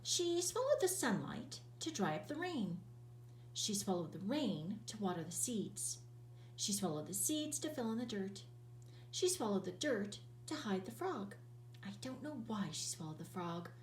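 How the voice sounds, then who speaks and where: A woman teacher reading a children's picture book aloud in an expressive, sing-song storytelling voice, drawing out words. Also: medium pitch, measured pace, roomy sound, distant mic, a little hiss or hum, poor audio.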